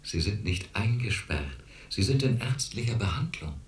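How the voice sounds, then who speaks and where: An actor playing a cool, condescending clinic doctor who questions in a probing, patronizing way in a radio drama. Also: male voice, on the low side, lively intonation, moderate pace, roomy sound, close to an everyday voice.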